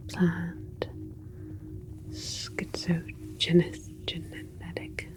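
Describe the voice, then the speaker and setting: Very slow whispering woman as a gentle alien scientist. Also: fairly low pitch, low-quality recording. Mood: calm, clinical. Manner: slow, soothing, deliberate, precise wording. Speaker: ASMR roleplay performer. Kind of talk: ASMR roleplay, whispered.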